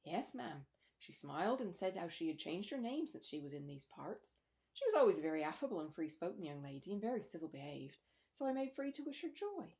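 A woman's voice: fairly low pitch, big pitch swings, brisk, a little mellow. A woman voicing a talkative, respectful rustic manservant with uneducated country grammar in a storybook reading. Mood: earnest, deferential. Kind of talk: storybook character dialogue.

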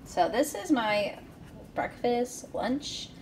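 A woman's voice: fairly high pitch, very animated, very slow, very noisy. A woman in her thirties chatting casually through a keto food and grocery vlog in her home kitchen, upbeat and full of fillers. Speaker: keto vlogger.

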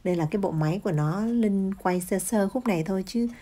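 A woman's voice: medium pitch, quick pace. Chatty woman giving a harbor walking tour. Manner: chatty, addresses viewers directly, mixes in English words. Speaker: vlogger. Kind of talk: casual walking-tour narration. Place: outdoors by a city harbor, filming while walking.

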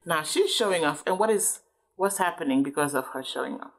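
Female read-aloud teacher, lower register, patient and explanatory, checks understanding often. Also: lively intonation, a little fast, fairly bright tone, low-quality recording. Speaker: adult reading a book with a child.

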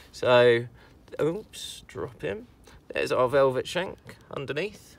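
A man in his forties, a foraging teacher from the United Kingdom, explaining wild mushrooms outdoors in a woodland in a relaxed, chatty way with fillers. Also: mid-range voice. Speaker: foraging instructor.

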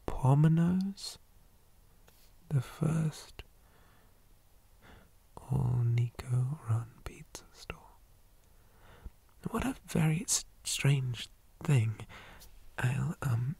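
A man playing a shy, old-fashioned zombie boy on a date in an ASMR roleplay, speaking softly and breathily, often near a whisper, with hesitant stammers and formal wording. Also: mid-range voice, gravelly, husky, no echo, full character voice. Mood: timid wonder. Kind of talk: ASMR roleplay, soft half-whispered talk.

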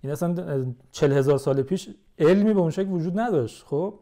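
A man talks as a podcast guest in an informal, explanatory way. His tone is mellow and soft-edged, pitched a little higher than most men. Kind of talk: casual explanatory chat.

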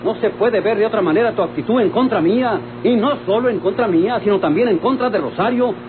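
Through a tinny, noisy old recording, a man acts an earnest young rancher with a rural Mexican accent. His high voice pleads in radio-serial style. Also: fast talker, close to an everyday voice. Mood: reproachful.

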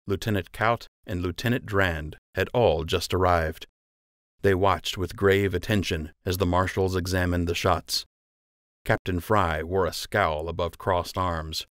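Male narrator for fiction audiobook, fairly low voice, dry close studio-style sound. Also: a bit bright, no echo.

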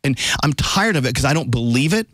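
A man's voice: medium pitch, animated, a little fast, fairly bright tone, no room sound. 50s guy hosting morning radio, upbeat, asks stuff, medium voice, dead dry studio mic. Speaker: radio host. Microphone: studio microphone, wearing headphones.

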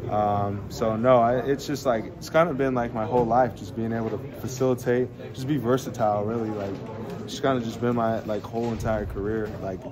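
A man's voice: medium pitch, a little fast. A young man, a college basketball player, answering reporters after a game in a casual, rambling way full of fillers. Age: young adult. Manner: relaxed, rambling, many fillers and restarts. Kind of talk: postgame media scrum. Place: arena hallway. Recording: crowd noise in arena hallway.

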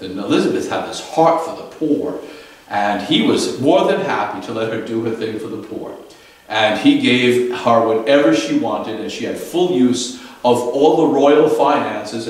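A man in his fifties, a priest giving a daily homily in a small chapel, telling a saint's story in a relaxed, conversational way, recorded by a camera across the room. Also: medium pitch. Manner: conversational storytelling, fillers and restarts. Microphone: far camera. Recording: echoey chapel from far off.

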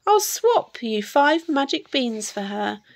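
A woman voicing a strange, sly little bearded man with a croaky voice in a storybook. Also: sits high, sing-song, slow, pitched above the performer's own voice, full character voice. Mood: sly, eager.

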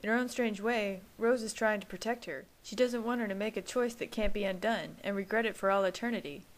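A woman's voice: mid-range voice, brisk, lightly acted. A woman voicing a sarcastic young man who teases his brother in a wry tone. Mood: teasing.

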